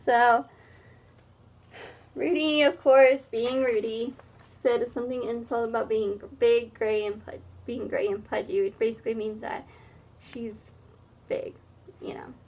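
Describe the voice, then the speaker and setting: Female read-aloud teacher, high-pitched and unhurried, expressive narration with plain explanatory asides for children.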